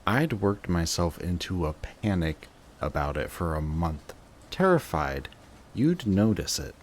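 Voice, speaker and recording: A man acts out a bitter, guarded male villain in an audio roleplay monologue. His voice sits very low and moves very slowly, with intense, sardonic delivery and dramatic pauses. Clear background noise sits behind the voice. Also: sing-song. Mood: anguished, confessing. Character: bitter adult male villain, guarded, secretly devoted to rival.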